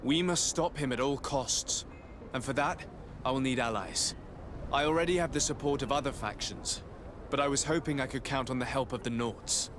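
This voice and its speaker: Guy playing earnest young lord in a game, formal n serious, medium pitch, some background noise. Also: normal speed, lightly acted. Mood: firm persuasion. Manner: formal, articulate, grave. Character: earnest young noble envoy seeking allies, measured and resolute. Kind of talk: dramatic video game dialogue.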